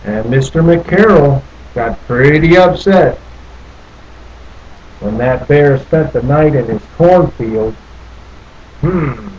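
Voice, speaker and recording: A man reading a children's picture book aloud in a folksy, playful, drawn-out way with little asides. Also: mid-range voice, lively intonation, darkish tone, muffled recording, slight background noise. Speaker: storybook reader.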